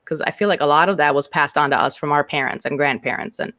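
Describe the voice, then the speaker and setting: Middle-aged woman guest, frank and open, swears casually, halting with fillers, dry close sound. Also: deep voice, a bit bright, no room sound. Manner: frank and candid, swears freely, many fillers and restarts. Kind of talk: casual interview chat.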